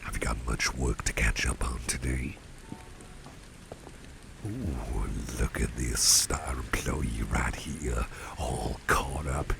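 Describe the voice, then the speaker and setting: A man playing a kindly, genteel boss in an ASMR roleplay, speaking in an unhurried, breathy half-whisper with a gravelly depth. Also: rumbling low, noisy background, exaggerated voice. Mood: reassuring. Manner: genteel, unhurried, repeats phrases for emphasis. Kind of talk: ASMR roleplay, soft-spoken personal attention.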